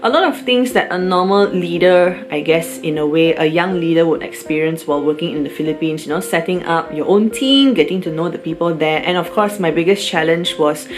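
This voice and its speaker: A woman's voice: on the low side, brisk, few pauses. A young woman with a Malaysian accent, talking about herself in a casual, rambling vlog with lots of fillers. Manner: chatty, rambling with fillers and restarts. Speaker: vlogger. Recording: music playing underneath.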